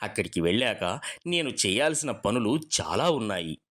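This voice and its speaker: A woman doing a deep, tired old man. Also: sing-song, moderate pace, fairly bright tone, full character voice. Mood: pleading. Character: old human man, weak and bewildered.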